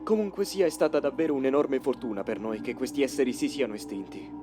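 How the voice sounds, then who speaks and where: A man's voice: mid-range voice, quick pace, lightly acted. A man voicing an earnest, incredulous young man in a motion comic dub, emotional and questioning. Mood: disbelieving. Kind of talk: dramatic motion comic dubbing. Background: faint music.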